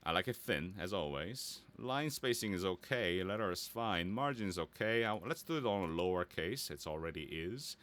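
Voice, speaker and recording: A man giving a casual, easygoing software tutorial, thinking aloud and muttering small asides as he works. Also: deep voice, animated, normal speed, hardly any pauses. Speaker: voice actor giving a website-building tutorial.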